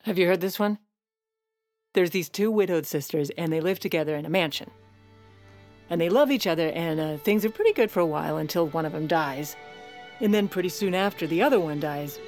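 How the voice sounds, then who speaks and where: An actress playing a sardonic, self-assured First Lady in her forties, narrating an audio drama with deadpan, haughty wit. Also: on the low side, a little fast, faint music behind, lightly acted. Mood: wry, confiding. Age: 40s.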